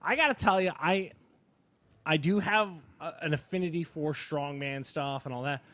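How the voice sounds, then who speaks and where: A man's voice: fairly high pitch, normal speed, fairly bright tone. A man co-hosting a casual sports and entertainment podcast, talking in an enthusiastic, emphatic, rambling way. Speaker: podcast co-host.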